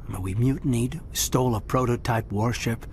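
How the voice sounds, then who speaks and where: Actor playing a soft-spoken earnest male soldier. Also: medium pitch, steady tone, brisk, a little hiss or hum, close to an everyday voice. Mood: reflective. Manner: soft-spoken and earnest, often dropping toward a whisper. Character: earnest young male soldier, loyal and thoughtful. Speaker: soldier serving under the commander. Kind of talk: video game voice acting. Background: game music.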